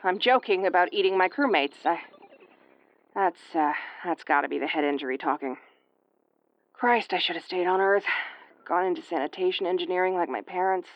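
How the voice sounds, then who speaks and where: A woman's voice: lowish voice, normal speed, long pauses, no room sound, highs cut off, close to an everyday voice. A woman playing an injured, sarcastic starship first officer in an audio roleplay, with a wry, exasperated tomboyish delivery. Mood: weary, rueful. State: woozy and battered. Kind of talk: audio roleplay acting, recorded log monologue.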